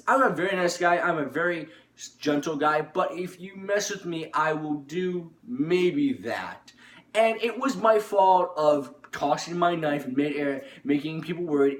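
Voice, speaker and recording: A man's voice: a little high, fairly bright tone. A man telling a personal story informally to his viewers, rambling and insistent, often repeating himself and restarting phrases. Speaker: storytelling YouTuber. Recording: echoey room, distant microphone.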